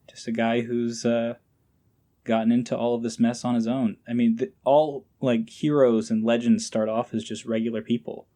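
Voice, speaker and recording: A man with a medium-pitched voice talks as a podcast guest. His delivery is casual and conversational, like informal chat between colleagues. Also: warm tone.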